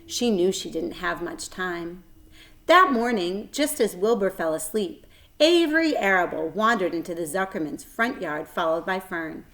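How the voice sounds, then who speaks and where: A woman's voice: lowish voice, lively intonation, normal speed, fairly bright tone. Woman reading a children's story expressively. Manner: dramatic emphasis, varying volume. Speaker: read-aloud storyteller. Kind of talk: expressive children's book narration.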